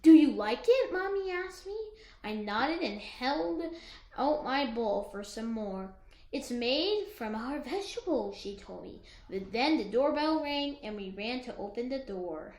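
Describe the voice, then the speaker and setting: A woman reads a story to children in a high, bright voice. Her delivery is expressive and swings up and down, and the room around her echoes. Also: measured pace. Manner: expressive, dramatized reading. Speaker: teacher co-reading aloud to a class. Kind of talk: read-aloud storytelling for children.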